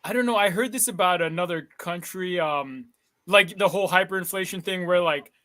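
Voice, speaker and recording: Bright, high-voiced young man from Kenya. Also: fairly quick. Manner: chatty, thinks aloud with many hedges. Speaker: actor guest. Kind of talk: casual interview chat.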